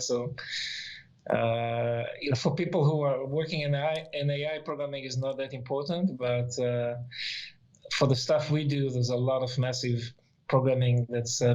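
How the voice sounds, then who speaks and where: A man's voice: medium pitch, darkish tone, dull audio. A middle-aged man from Israel whose first language is Hebrew, explaining things casually and a bit hesitantly as an interview guest. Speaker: interview guest, software developer.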